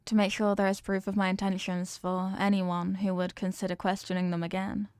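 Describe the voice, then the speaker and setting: A woman's voice: medium pitch, level tone, a little fast, a little mellow, smooth, no echo, studio quality, natural voice. A woman voicing an obsessively loving evil queen in a roleplay audio drama, speaking formally and measuredly with imperious, veiled menace. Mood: calm, commanding. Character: possessive yandere evil queen, regal, obsessively in love. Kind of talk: scripted roleplay monologue, ASMR audio drama.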